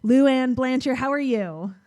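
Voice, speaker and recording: A woman's voice: high-pitched, a little slow, dark tone, no echo. Casual, enthusiastic woman podcast host. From Illinois, United States. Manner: informal, enthusiastic. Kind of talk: casual chatty interview.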